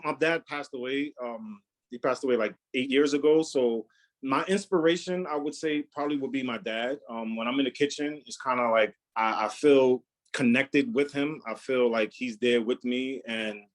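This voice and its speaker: A young adult man chef answering cooking questions in a casual live demo, with a loud, carrying voice, often repeating words and using fillers. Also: medium pitch.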